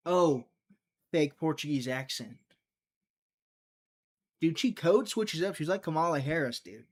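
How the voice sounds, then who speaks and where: A man hosting a live prediction stream, chatting casually, thinking out loud and talking to his viewers, sometimes trailing off into a mutter. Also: on the high side, animated, measured pace. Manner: chatty, thinks aloud, talks to chat.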